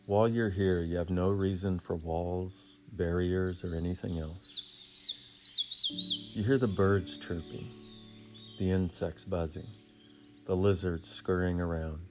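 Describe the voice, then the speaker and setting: Deep-voiced man guiding a meditation. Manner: soothing, deliberate, with short phrases and long pauses. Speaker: meditation guide. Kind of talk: guided meditation.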